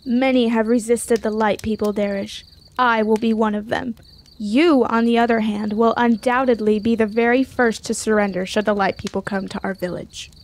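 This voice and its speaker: An actress playing a proud, sharp-tongued young warrior maiden in a fantasy radio drama, speaking in formal, defiant phrases. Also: female voice, a little high, fairly even intonation, normal speed, natural voice. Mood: scornful. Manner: formal, archaic, declamatory phrasing.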